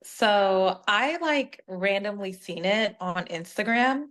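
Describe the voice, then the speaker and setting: A woman's voice: medium pitch, expressive, slow, a bit bright. A woman from Atlanta, a guest on a podcast, chatting casually. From Atlanta, Georgia. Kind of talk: casual group chat.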